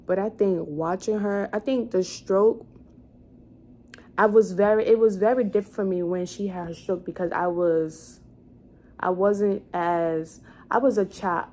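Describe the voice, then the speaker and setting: A woman's voice: mid-range voice, fairly even intonation, measured pace, mellow. A woman in her twenties chatting casually as a vlogger, rambling as she thinks aloud and corrects herself. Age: 20s. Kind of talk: casual chat, answering questions to camera. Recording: clearly noisy room ambience.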